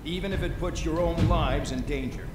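Guy acting cold scornful army briefing officer in a video game, big echoey hall, loud music under him. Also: a little high, normal speed, natural voice. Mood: businesslike. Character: stern, contemptuous male military briefing officer.

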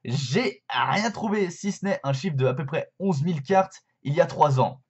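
A man's voice: a little high, lively intonation, normal speed, husky, muffled recording. A young man in his twenties chatting casually to a webcam from his bedroom, explaining a card game with lots of hesitations and fillers. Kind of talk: casual explainer vlog to camera. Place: bedroom with shelves, indoors.

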